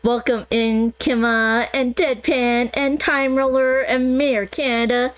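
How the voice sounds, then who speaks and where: A woman with a slightly raised pitch hosts a relaxed livestream. She chats casually, giggles often and reads viewer comments aloud. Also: slow. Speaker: stream host.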